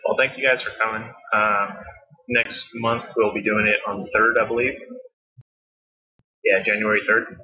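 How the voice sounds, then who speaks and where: A man hosting a casual gaming guild voice chat, relaxed and joking. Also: lowish voice, normal speed, pauses often. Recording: lo-fi voice chat audio.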